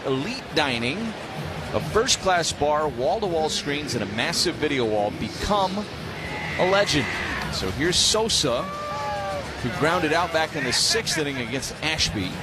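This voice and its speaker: An American man calling a baseball game live on the radio as play-by-play announcer. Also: fairly high pitch. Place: stadium broadcast booth during a game. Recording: clear crowd noise behind the voice. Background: ballpark ambience.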